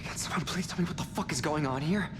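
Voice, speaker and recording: Actress as exasperated crewwoman, sci-fi horror game. Very low for a woman, blunt, long reverb. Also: quick pace, background noise, lightly acted. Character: exasperated, foul-mouthed female spaceship crew member.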